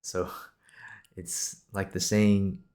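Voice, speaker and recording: A man's voice: low-pitched, flat delivery, very slow, darkish tone. A man in his twenties from Singapore hosting an improvised podcast, talking casually in a reassuring, encouraging, conversational way. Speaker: podcast host.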